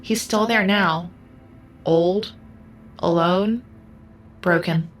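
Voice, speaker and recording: A woman playing a gentle, solemn, all-seeing computerized being in an audio drama, speaking in a measured, cryptic way. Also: on the low side. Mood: solemn, sad. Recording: music under the voice.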